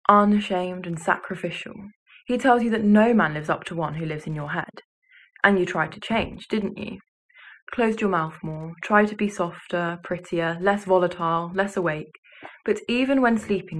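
A young woman reciting a poem in a measured, serious manner, recorded in a bedroom. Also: on the low side, low-quality recording. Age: teenager or young adult. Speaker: student poetry reader. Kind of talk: poetry recitation.

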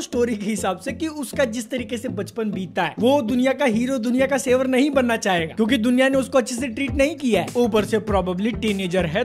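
A young man with an Indian accent hosts a casual anime review. He talks in an informal, joking, slangy way and drops in English phrases. Music plays clearly behind his voice. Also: sits high. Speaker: anime reviewer and video host. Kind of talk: casual video commentary in slangy speech.

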